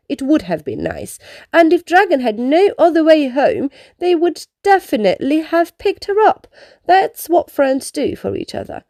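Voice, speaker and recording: A woman's voice: high voice, darkish tone, no room sound, studio quality. A woman reading a children's storybook aloud, telling it expressively and explaining its lessons to young listeners. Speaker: children's book reader. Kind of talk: read-aloud storybook narration.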